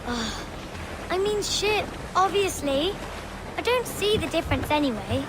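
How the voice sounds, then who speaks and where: A woman's voice: very high voice, big pitch swings, a little slow, full character voice. A woman voicing a curious, candid young English girl, a sea captain's daughter, in a video game cutscene. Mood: playful curiosity. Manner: inquisitive, precise, matter-of-fact. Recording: noisy wind, waves and creaking ship.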